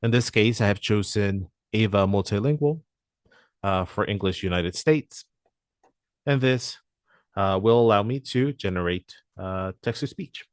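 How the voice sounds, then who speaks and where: A man presenting a software demo walkthrough in a webinar, talking hesitantly with frequent "uh" fillers. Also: on the low side, unhurried, no room sound, clean studio sound.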